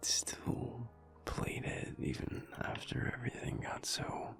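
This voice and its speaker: A man playing a sad, wistful young alpha werewolf in an intimate audio roleplay, talking haltingly in a low, rumbly half-whisper. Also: a bit bright, soft background music. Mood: nostalgic, wistful. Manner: halting, hesitant, trailing off.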